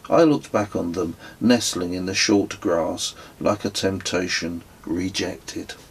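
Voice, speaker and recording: A husky, low-voiced man narrates his own travel memoir. He reads from a script in a measured, reflective way, with wry touches of storytelling. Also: low-quality recording.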